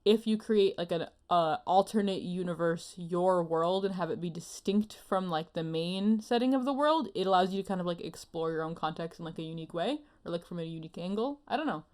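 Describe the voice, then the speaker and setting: A woman's voice: lowish voice, brisk, hardly any pauses, a little mellow. Musing woman in casual chat. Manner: musing, tentative, heavy use of "like". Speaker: panelist on an anime discussion show.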